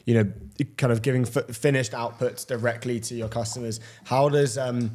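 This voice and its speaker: A man with an average-pitched voice hosting a casual interview. He works his way into questions in a loose, roundabout way, hedging as he goes. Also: steady tone, moderate pace, a little mellow. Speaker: interview host.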